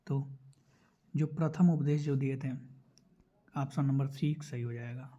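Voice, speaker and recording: A man's voice: mid-range voice, steady tone, unhurried, warm tone, muffled recording. A man teaching an exam prep lesson, explaining step by step and repeating key points. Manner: repeats key points instructively, checks in with listeners. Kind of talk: explanatory lesson going through quiz questions.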